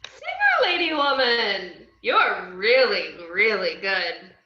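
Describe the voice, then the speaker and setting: A woman's voice: fairly high pitch, big pitch swings, slow. A woman playing a drunk, heartbroken, brash young clown villain in an amateur script read, loose and erratic over a video call. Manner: loose, erratic, swinging from mumbles to whoops. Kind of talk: amateur screenplay table read. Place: home room on a group video call. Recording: roomy, dull video-call audio.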